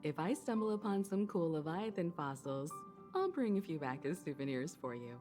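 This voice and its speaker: A woman playing a gracious, composed adult navigator and mentor in a video game, gently authoritative. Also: low voice, expressive, normal speed. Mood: fond. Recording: faint music behind the voice.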